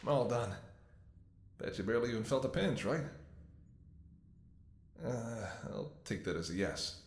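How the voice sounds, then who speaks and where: A man's voice: medium pitch, lively intonation, unhurried, echoey room. A man playing a weary, devoted doctor in an intimate ASMR audio roleplay, speaking tenderly and soothingly to an ailing lover. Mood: tender, reassuring. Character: weary, devoted male doctor tending an infected lover in apocalypse. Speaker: voice actor.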